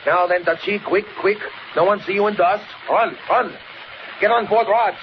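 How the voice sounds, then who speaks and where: A man's voice: high voice, moderate pace, close to an everyday voice. Menacing radio gangster boss, played by a man, dull noisy recording. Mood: excited, urgent. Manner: bossy, terse, urgent. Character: menacing male gangster boss giving hurried orders. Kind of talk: 1940s radio serial acting.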